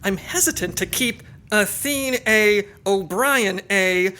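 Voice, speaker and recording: A woman's voice: mid-range voice, slow, bright, forceful tone, a little hiss or hum. A woman playing a commanding, melodramatic spy team leader in a comedic audio drama, with a declamatory manner. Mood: urgent, dramatic.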